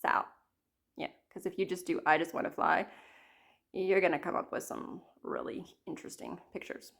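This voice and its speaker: A woman in her thirties chatting casually about her cross-stitch projects, her voice a little croaky from a cold, rambling and apologizing often. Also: fairly low pitch, fairly even intonation, moderate pace, a bit bright. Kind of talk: casual chat to camera.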